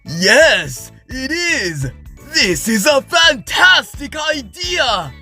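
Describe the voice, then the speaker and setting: Casting: grandiose young anime stage star, forceful and declamatory, taking his time over big swooping lines. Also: extremely high pitch, very animated, drawn-out words, soft background music, heavily acted. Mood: proud, enthusiastic. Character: bombastic, theatrical young male star of an anime troupe. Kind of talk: anime fan dub acting.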